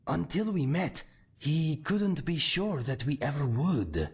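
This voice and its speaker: An actor voicing a calm, earnest male cat-folk swordsman in a game, speaking in a measured, formal way with a raspy voice. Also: fairly high pitch, heavily acted. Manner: measured, formal and warm-hearted. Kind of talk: game character dialogue.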